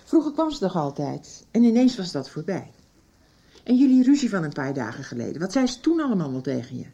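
Worried middle-aged mother, radio drama: low, soft-edged and mellow, pressing her point. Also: sing-song, a little fast, dull audio. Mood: grave, insistent. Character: worried, insistent mother of a missing girl. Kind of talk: Dutch radio soap acting.

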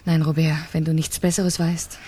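An actress playing a cold, impatient wife who plots murder, insistent and clipped, in a 1970s crime radio play. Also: female voice, low-pitched, level tone, moderate pace, warm tone, husky, no echo. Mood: cool, calculating.

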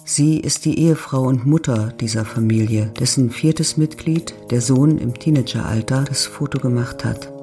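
A man reading a scripted literary narration for an audio drama in a measured, descriptive way. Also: mid-range voice, dark tone. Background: music.

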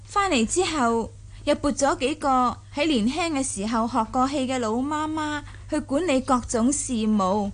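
A woman playing a shrewd, lively young mistress of a rich household in a Cantonese audio drama, chatting and explaining smoothly. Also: high voice, a little slow. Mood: confiding.

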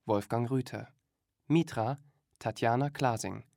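A man's voice: mid-range voice, slow pace, creaky, darkish tone, no room sound. A man reading scripted radio drama credits as an announcer in a studio. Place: dry studio.

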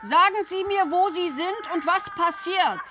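An actress playing a brisk, businesslike tax office assessor in a radio drama. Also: female voice, extremely high pitch. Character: brisk female tax assessor, middle-aged. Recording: noisy background.

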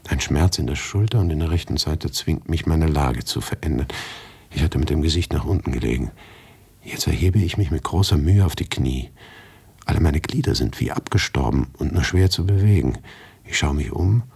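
Deep, husky man narrating dramatically. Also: lively intonation, moderate pace, no room sound. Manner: intense, shifting between hushed and agitated. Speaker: actor narrating a radio play in the first person. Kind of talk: dramatic literary radio monologue.

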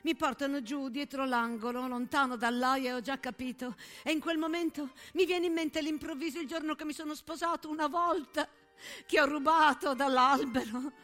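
A woman acts out a condemned villager in a war stage piece, high and bright. The telling is desperate and vivid, over faint music. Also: fairly even intonation, normal speed. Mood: dazed, tender. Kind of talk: theatrical war monologue.

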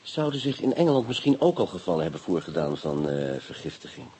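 An actor playing a shrewd, determined police inspector who presses with pointed questions, in a radio play. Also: male voice, deep voice, sing-song, quick pace, slight background noise. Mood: thoughtful. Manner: sharp, insistent, probing. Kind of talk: radio drama acting.

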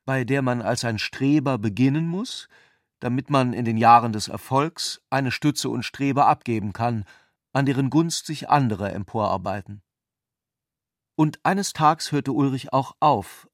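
A man reading scripted literary narration for a radio play in a measured, articulate way. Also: mid-range voice, no echo, clean studio sound.

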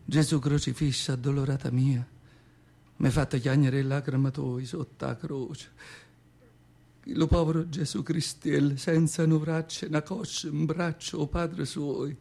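A man's voice: on the high side, steady tone, measured pace, gravelly, dark tone. A male actor playing an elderly, devout southern Italian mother with a Neapolitan accent, telling her memories in a plaintive, emotional radio monologue. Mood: hushed grieving. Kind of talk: theatrical radio monologue in dialect. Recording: guitar music behind the voice. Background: acoustic guitar music.

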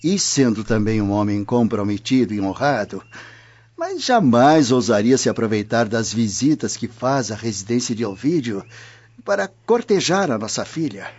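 A man playing a worried, dignified old Roman grandfather in a radio drama, with a husky voice. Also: medium pitch, animated, moderate pace, a little mellow, lightly acted. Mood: worried, earnest. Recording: dull lo-fi audio.

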